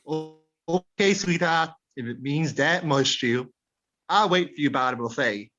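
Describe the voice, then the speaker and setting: Actor as elderly male ghost, dull lo-fi sound, affectionate, placating, gently teasing, radio drama. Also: on the high side, a little slow, faint music behind. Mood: placating, tender. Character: easygoing, affectionate old husband ghost. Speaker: actor in an amateur radio play. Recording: dull, muffled lo-fi recording.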